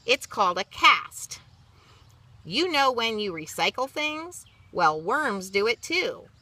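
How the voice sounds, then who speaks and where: A woman's voice: a little high, sing-song, slow pace, sharp tone. A woman reading a picture book to children, expressively, with chatty asides and simple explanations. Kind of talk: read-aloud with chatty asides.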